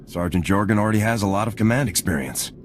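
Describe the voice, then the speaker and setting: Casting: actor for military hero lead, low voice, quick direct delivery, confident, game cutscene. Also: male voice, a little hiss or hum, natural voice. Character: confident, steady male squad commander, soldierly. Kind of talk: scripted video game acting.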